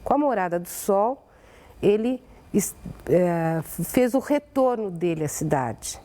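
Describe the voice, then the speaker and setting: A woman with a Brazilian accent speaking in an interview as a radio executive, halting and emphatic with pauses. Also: lowish voice, animated, mellow. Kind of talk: interview reminiscence.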